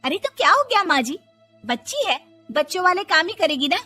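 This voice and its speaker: A woman voicing an indulgent, defensive young mother in a moral-story cartoon, with a husky voice. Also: very high voice, very animated, a little fast, bright, forceful tone, faint music behind, full character voice.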